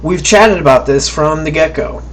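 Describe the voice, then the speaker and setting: A man's voice: a little high, moderate pace, slight background noise. A man giving a casual, conversational recorded lecture, often checking in with "right?" and acting out quoted thoughts. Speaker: college instructor.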